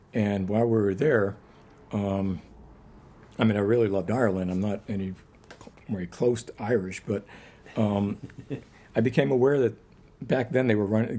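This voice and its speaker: A man speaking informally as a poet at a live reading, rambling and self-deprecating, with a husky voice. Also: lowish voice, dark tone. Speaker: poet introducing and reading own poem. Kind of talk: informal live poetry reading introduction with anecdotes.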